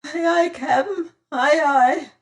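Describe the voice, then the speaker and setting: A reader voicing a fat, cruel male pirate boatswain with a high-pitched, squealing voice, wailing and pleading. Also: slow. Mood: eager. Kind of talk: audiobook character voice.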